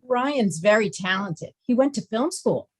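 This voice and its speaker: A woman's voice: a little high, expressive, a little slow. A woman playing a polite, middle-aged suburban mother who deflects blame, in a comedy table read. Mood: hesitant, defensive.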